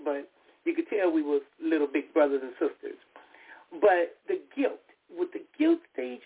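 A woman hosts her own radio talk show, her voice raspy from a lingering cold and gravelly with fry. She talks in a heartfelt, personal way, stumbling and restarting now and then. The audio comes through a narrow, tinny phone line. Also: lowish voice. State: recovering from a cold. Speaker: radio show host and therapist. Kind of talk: solo internet radio talk.

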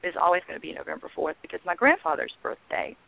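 A woman guest telling her story in a phone-in interview, earnest and halting, with frequent ums. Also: low voice, lively intonation, creaky, no room sound. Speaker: guest, founder of a peace blogging network. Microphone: telephone. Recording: tinny phone line, dry.

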